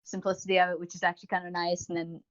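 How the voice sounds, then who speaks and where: A man's voice: high voice, monotone, rapid-fire, a bit bright, clear, resonant tone, highs cut off. A man co-hosting a podcast in casual chat, rambling and gushing about what he reviews, with self-mockery and casual swearing. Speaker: podcast co-host. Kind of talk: casual chat, reviewing audio roleplay series.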